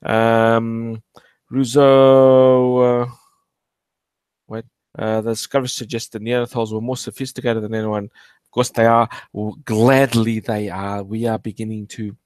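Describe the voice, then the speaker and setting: A man's voice: mid-range voice, level tone, measured pace, a little mellow, clear, resonant tone, no room sound. A man hosting a casual live stream about archaeology, rambling with many fillers and restarts, swearing and joking with his chat. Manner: swears freely and jokes with the chat.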